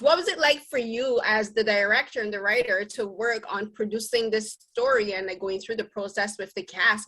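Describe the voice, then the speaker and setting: A young woman hosts a film interview at a medium pitch. She talks earnestly and thoughtfully, often stopping to rephrase mid-sentence. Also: a bit bright, low-quality recording. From Barbados. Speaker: podcast host and interviewer. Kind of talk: casual conversational film interview.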